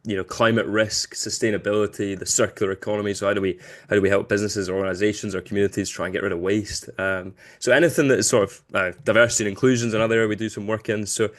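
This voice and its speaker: A man in his late twenties with a lowish voice and a soft touch of Glasgow in his accent. He speaks as an interview guest, casual and chatty, happy to poke fun at himself. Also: little pitch movement, very fast. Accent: light Glaswegian. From Glasgow, Scotland. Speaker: podcast guest, business founder.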